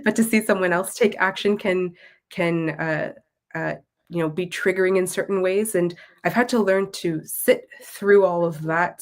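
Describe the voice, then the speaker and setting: A woman with a Canadian accent speaking as an interview guest in a reflective, articulate, conversational way. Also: medium pitch. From Toronto, Canada. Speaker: guest, content creator and Tamil language teacher.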